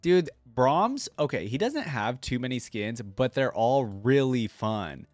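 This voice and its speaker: A man's voice: mid-range voice, big pitch swings, takes it slowly, darkish tone, no echo. A man giving casual reaction commentary, thinking aloud in informal, slangy language. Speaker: reaction video host.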